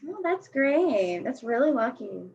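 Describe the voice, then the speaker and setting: A woman's voice: fairly high pitch, sing-song, measured pace, vocal fry, darkish tone, breathy edge, full character voice. A woman playing a blunt, slangy, defensive fourteen-year-old girl skateboarder in a staged play reading. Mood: sarcastic. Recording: dull lo-fi recording.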